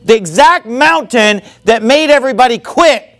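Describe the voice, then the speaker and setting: A man's voice: extremely high pitch, animated, moderate pace, pitched above the performer's own voice, heavily acted. A man acting out a bold, defiant 85-year-old warrior, speaking emphatically with a raised voice. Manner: emphatic, raised voice, near shouting.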